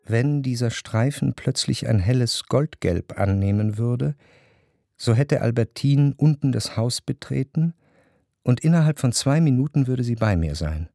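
An actor playing an introspective, yearning gentleman who narrates a literary radio drama in a measured, reflective way. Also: mid-range voice, expressive, vocal fry. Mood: anxious longing.

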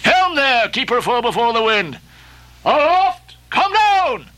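Guy doing a booming old sea captain, obsessed and brooding, raised pitch, bright ringing tone. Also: very animated, slow, full character voice. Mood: commanding. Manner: booming, impassioned, archaic declamation. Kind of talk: audiobook character voicing.

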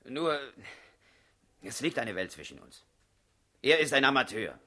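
An actor in a German radio drama playing a sarcastic, hard-bitten working-class henchman with a husky, sneering voice. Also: medium pitch, big pitch swings, unhurried, sharp tone, heavily acted. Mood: cool, dismissive.

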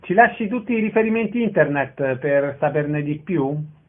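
A man's voice: on the high side, expressive, normal speed. A man hosting a radio interview, putting questions to a guest and giving out event details. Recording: thin narrowband radio sound.

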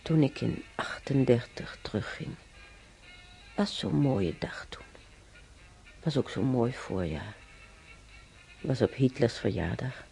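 An actor playing an ailing older man in hospital, musing haltingly and bitterly in a naturalistic radio drama. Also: mid-range voice, big pitch swings, vocal fry, dark tone, whispered, soft background music, full character voice. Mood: bitter reminiscing. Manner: halting, musing, repeats himself. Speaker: hospital patient.

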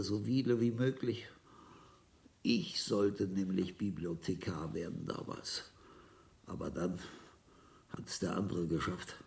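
An actor playing a toothless, boastful very old monk in a German radio play, muttering ominously in a gravelly voice that slips into whispers. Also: male voice, fairly low pitch, far from the mic. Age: older.

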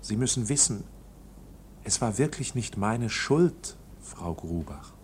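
A man's voice: on the low side, animated, slow, a little hiss or hum. A man playing an anxious, proud clerk in a radio drama, polite but uneasy and insistent. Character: anxious, proud male bank clerk under arrest.

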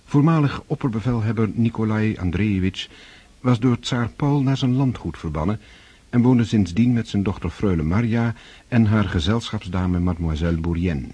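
A male storyteller delivers scripted literary narration for a radio play. His voice is deep and dark. It has a pronounced husky, breathy grain. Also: normal speed, no room sound.

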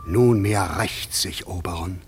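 A man's voice: deep voice, slow pace, slight background noise. A man playing a vengeful fairy king in a radio play, chanting rhymed verse like a spell. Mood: vengeful, incanting. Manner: incantatory rhymed verse delivery. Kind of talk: classic German radio play acting.

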